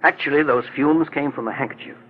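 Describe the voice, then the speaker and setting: A man playing a kindly, assured older gentleman detective in a 1940s radio drama. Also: a little high, fast, husky. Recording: dull lo-fi 1940s radio recording.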